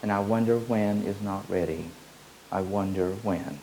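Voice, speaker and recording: A man giving a slow, meditative spiritual teaching, repeating his phrases in an incantatory way. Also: on the low side, mellow, slight background noise, poor audio.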